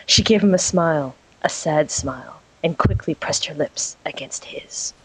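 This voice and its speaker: A woman's voice: low voice, normal speed, slightly rough, no echo, low-quality recording. A woman giving a dramatic, playfully overdone reading as narrator of a romantic adventure. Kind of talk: dramatic reading of fan fiction.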